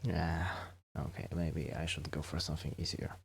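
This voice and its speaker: A man who speaks English as a second language, chatting softly and intimately as in an ASMR role-play, hesitant and self-deprecating, often slipping toward a whisper. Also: very deep voice, flat delivery, normal speed, vocal fry, faint music behind. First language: not English, a second-language speaker. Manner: soft-spoken, often dropping into whispers, hesitant. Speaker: ASMR performer playing a musician friend.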